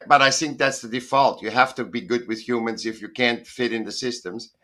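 A man's voice: mid-range voice, a little fast, pushed and bright. A middle-aged man, a guest on a video call, talking in a rambling, emphatic way and often correcting himself. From Austria. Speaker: guest artist. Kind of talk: casual interview talk. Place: home in Southern California.

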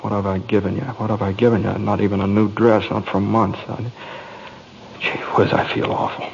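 An actor playing an earnest, excitable young small-town man in a radio drama, folksy, hesitant and stammering. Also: on the low side, normal speed, vocal fry, muffled recording. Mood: dejected. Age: young adult. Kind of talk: old-time radio drama acting. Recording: faintly noisy vintage radio broadcast.

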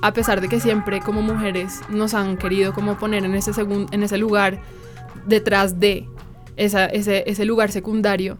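A woman's voice: medium pitch, little pitch movement, moderate pace, music in the background. A woman hosting a conversational discussion, emphatic and repeating phrases for emphasis.

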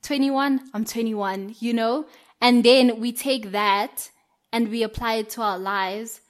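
Young woman, podcast co-host, chatty, laughs a lot, somewhat high voice. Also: steady tone, takes it slowly. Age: young adult. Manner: chatty, laughs often, many fillers. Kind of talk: casual chat.

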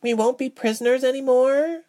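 Man putting on a high timid young woman. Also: animated, darkish tone. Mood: wistful, doubtful. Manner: timid, slow, wistful.

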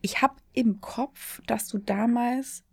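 Young woman podcast host with gravelly fry. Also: fairly high pitch, slow pace. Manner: upbeat, friendly questioning. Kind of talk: casual podcast interview.

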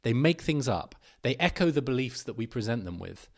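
A man's voice: mid-range voice, sing-song, fairly quick, creaky. A man giving a scripted narration, reading an article aloud.

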